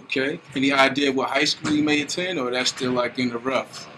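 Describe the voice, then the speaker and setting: A man interviews in a relaxed, slangy way as a show host. His mid-pitched voice rings in an echoey room, a little away from the microphone, with noise around him. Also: fairly quick, far from the mic. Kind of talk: casual documentary-style basketball interview.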